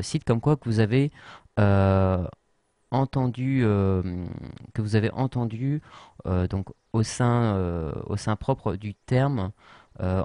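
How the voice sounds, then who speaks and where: Hesitant low-voiced man. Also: dark tone, dry recording, studio quality. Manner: hesitant, many fillers and restarts. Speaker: tutorial presenter. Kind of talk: unscripted screen tutorial.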